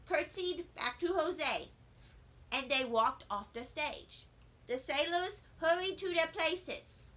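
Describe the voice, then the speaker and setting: A woman's voice: high voice, sharp tone. A woman reading a children's book aloud, narrating in a chatty, wide-eyed first-grader voice. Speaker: storybook reader.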